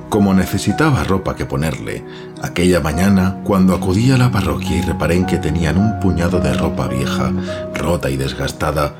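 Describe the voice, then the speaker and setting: Casting: farmer dad, extremely low voice, measured first-person horror storytelling over prominent music. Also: male voice. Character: farmer father, puzzled, fond of his daughter. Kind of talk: dramatic first-person narration in horror audio drama. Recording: music behind the voice.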